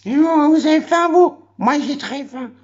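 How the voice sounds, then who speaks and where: A man voicing a greedy, always hungry male pet animal that talks slowly and simply. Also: very high-pitched, muffled recording, pitched above the performer's own voice. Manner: slow, simple, drawn-out talk.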